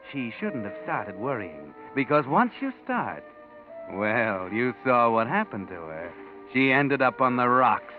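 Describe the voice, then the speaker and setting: An American actor playing a sardonic, knowing storyteller in a 1940s radio mystery, with wry, deliberate emphasis. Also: male voice, medium pitch, natural voice. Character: sardonic, knowing omniscient male storyteller of crime tales. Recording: tinny 1940s radio, music behind.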